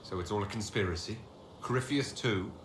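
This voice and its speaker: Man playing a low-voiced, skeptical heroic leader. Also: a little fast, natural voice. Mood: skeptical, questioning. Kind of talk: dramatic video game dialogue. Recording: distant, echoey, noisy game scene capture.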